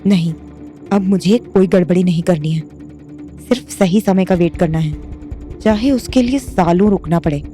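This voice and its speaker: A woman's voice: lowish voice, moderate pace, dark tone, faint music behind, natural voice. An actress playing a bitter, vengeful young woman in an audio drama, with a cold, guarded manner. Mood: brooding, scheming.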